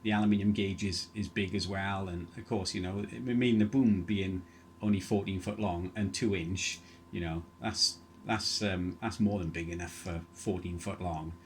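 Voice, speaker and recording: A man with a fairly low voice talks casually over ham radio. He rambles through technical details at length. The recording carries a faint layer of noise. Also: fairly even intonation, moderate pace. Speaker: amateur radio operator.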